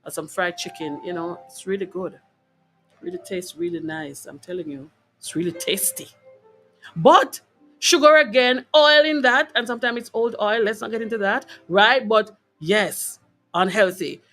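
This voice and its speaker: A woman with a Jamaican accent speaks at a middling pitch over faint music. She hosts an informal, motivational wellness talk, stressing her points and often checking in with listeners through short tag questions. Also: normal speed, a bit bright. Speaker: host of a wellness broadcast. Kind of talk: informal motivational health talk to a live online audience.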